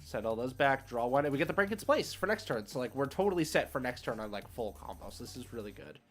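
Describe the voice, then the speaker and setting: A man's voice: mid-range voice, animated, fast, fairly bright tone, faint music behind. A man giving casual live commentary as a game streamer, thinking aloud in informal gamer slang. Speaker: streamer hosting card game matches.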